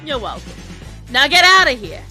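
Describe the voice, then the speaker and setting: A brash young woman, as an actress plays her in character for a live Q&A, speaks in a bright, forceful voice pitched on the high side. She is sassy and confrontational, slangy and quick to swear, with a very lively melody. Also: soft background music, heavily acted. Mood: brash, taunting. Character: brash, foul-mouthed young redhead woman with bossy sass.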